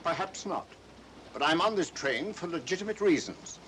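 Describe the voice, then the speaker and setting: Casting: prickly academic, slightly high voice, lively pitch, impatient and formal, old film soundtrack. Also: male voice, normal speed, a little hiss or hum, rough recording. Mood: curt, aloof. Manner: curt, formal, irritable. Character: prickly, aloof male mathematics professor, impatient with police. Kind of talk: 1940s mystery film acting.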